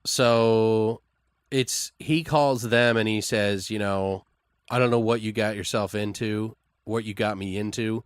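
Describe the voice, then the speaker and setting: Low voiced guy podcast host riffing story ideas, kinda excitable, swears, really dry close recording. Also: a little slow, clear, resonant tone, no echo. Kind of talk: casual improvised story brainstorming.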